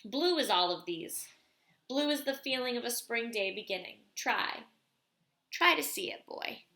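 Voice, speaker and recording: A woman voicing a patient, wise old grandfather in a storybook, measured and reassuring. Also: fairly high pitch, animated, sharp tone, full character voice. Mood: patient, teaching. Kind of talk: storybook read aloud.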